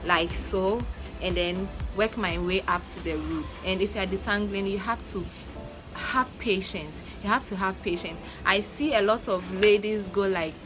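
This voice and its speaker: A woman's voice: medium pitch, moderate pace. Ghanaian woman giving earnest advice. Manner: earnest, coaching, repeats her points. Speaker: natural hair vlogger. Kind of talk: casual advice vlog. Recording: music playing under the voice. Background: background music.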